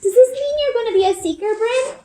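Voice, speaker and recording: A woman's voice: very high voice, brisk, warm tone, voice pushed up. A woman voicing a playful, pouting little girl in a children's storybook, childlike and pleading. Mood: curious excitement. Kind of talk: children's storybook read-aloud. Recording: roomy indoor echo.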